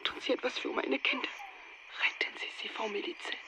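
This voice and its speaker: A woman's voice: a little high, animated, fairly quick. An actress playing a desperate Nigerian mother in a radio play, pleading urgently and dropping into whispers. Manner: breathy whispers breaking into urgent pleas.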